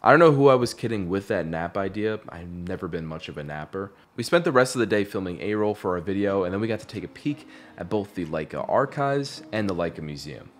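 A young man narrating a recap of his trip in a relaxed, reflective way. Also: deep voice, fairly quick, hardly any pauses. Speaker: vlogger narrating.

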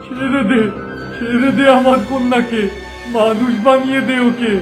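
An echoing, unusually high male voice acted by a man as a fairy-tale father. He is protective and desperate, drawing out urgent pleas, with music clearly behind him. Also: level tone, normal speed. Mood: anguished pleading. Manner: pleading, urgent. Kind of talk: Bengali fairy-tale cartoon voice acting.

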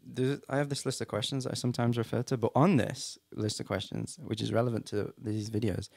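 A man's voice: medium pitch, level tone, brisk, vocal fry, a little mellow, dry recording, clean studio sound. A man hosting a radio interview, asking questions in a friendly, teasing way and laughing easily. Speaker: radio host. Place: radio studio.

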